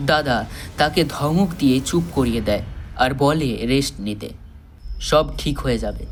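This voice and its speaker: A man narrating a scripted story solemnly and dramatically, with measured pauses. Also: medium pitch, slight background noise.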